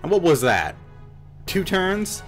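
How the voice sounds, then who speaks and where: A man's voice: high-pitched, huge pitch swings, slow, a bit bright. A man giving joking, sarcastic gameplay commentary as host on a headset. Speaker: let's play host. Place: small room indoors. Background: game music.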